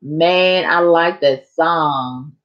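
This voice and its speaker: A woman chatting casually on a live stream to her viewers, rambling and a bit hoarse from talking so long. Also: deep voice, drawn-out words, lo-fi sound.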